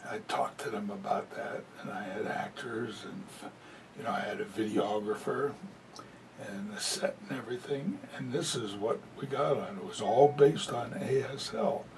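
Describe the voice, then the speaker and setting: A man voicing a presentation as a sign language interpreter, talking conversationally with many fillers. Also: moderate pace. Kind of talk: live spoken interpretation of a talk. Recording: distant mic with faint low rumble.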